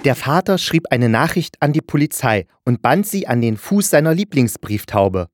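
A man's voice: mid-range voice, lively intonation, normal speed, very noisy. A man narrating a children's fairy tale in a patient, explanatory way.

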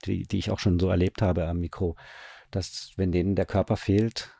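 Low-voiced man, gravelly and thoughtful. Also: flat delivery, dark tone, no echo, studio quality. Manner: halting, searching for words, thinking aloud. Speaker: radio drama director, interview guest. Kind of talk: casual interview conversation.

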